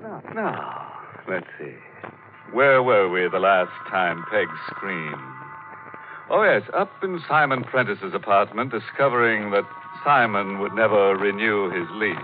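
An actor playing a macabre, wryly joking mystery host, narrating deliberately in 1940s radio horror style on a tinny recording. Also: male voice, fairly low pitch, animated. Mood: ghoulishly amused. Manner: tongue-in-cheek, deliberate. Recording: tinny old radio recording with loud organ music.

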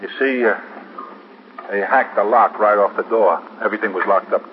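An actor playing a chatty, respectful New York police sergeant in a 1950s radio drama, folksy and a little hesitant. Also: male voice, lowish voice, normal speed, lightly acted. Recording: tinny, noisy 1950s radio recording.

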